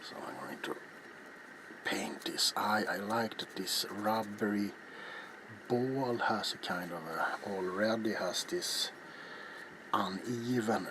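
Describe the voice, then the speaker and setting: Man, middle-aged, low pitch, very slow, hesitant soft-spoken ASMR narration, clear room noise. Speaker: ASMR video maker. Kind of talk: ASMR craft tutorial, casual narration. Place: small cluttered indoor home workroom.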